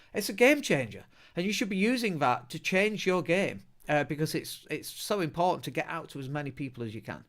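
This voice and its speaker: Middle-aged Leeds man, podcast host, slightly raised pitch, chatty, blunt, self-mocking. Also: a bit bright. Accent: Northern English, Leeds. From England. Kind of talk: casual solo podcast talk.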